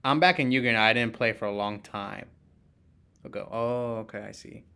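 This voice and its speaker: Bright-voiced man live-streaming a card game. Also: lowish voice, normal speed, vocal fry, smooth. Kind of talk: casual live game commentary, chatting with viewers.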